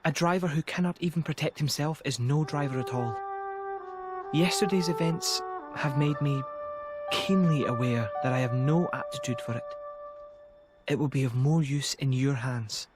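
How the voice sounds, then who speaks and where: A gracious young male emperor voiced by a man for a game cutscene, thoughtful and gently authoritative. His formal, measured voice sits in the middle range, over clear music. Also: mid-range voice, close to an everyday voice. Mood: reflective. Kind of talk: dramatic video game voice acting.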